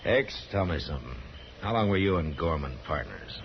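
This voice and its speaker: An American actor playing a stern, terse frontier marshal with an authoritative manner in a 1950s radio western. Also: male voice, deep voice, expressive, normal speed. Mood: firm, dry. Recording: dull 1950s radio recording, faint noise.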